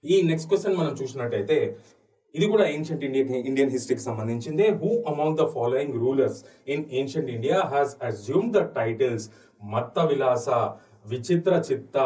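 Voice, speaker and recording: A man's voice: mid-range voice, a little mellow, recorded from a distance. A man with a Telugu accent teaching history for exam candidates, explaining answers and rephrasing key phrases as he switches between two languages.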